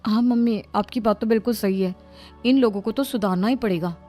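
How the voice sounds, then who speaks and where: A woman's voice: medium pitch, fairly quick, mellow, soft background music, natural voice. A woman playing an agreeable young family member in a moral story. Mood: compliant. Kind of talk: moral story dialogue acting.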